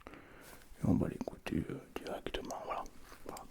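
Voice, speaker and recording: A man hosting an ASMR tasting in a casual, hushed, low voice. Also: moderate pace. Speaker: ASMR video host. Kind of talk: whispered ASMR food tasting.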